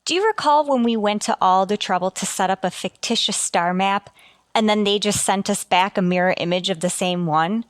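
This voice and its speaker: Woman playing a careful respectful space crew officer, medium pitch, super dry sound, sci-fi radio play. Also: normal speed, no room sound, lightly acted. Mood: earnest, puzzled. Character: earnest, deferential female starship communications officer. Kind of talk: radio sci-fi drama acting.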